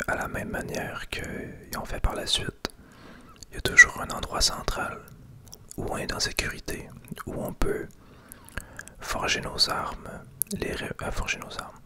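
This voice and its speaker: A man with a Québécois French accent whispering softly and unhurriedly to viewers in an ASMR gameplay commentary. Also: unhurried, pauses often. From Quebec. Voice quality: soft unvoiced whisper. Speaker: video game streamer making ASMR gameplay videos.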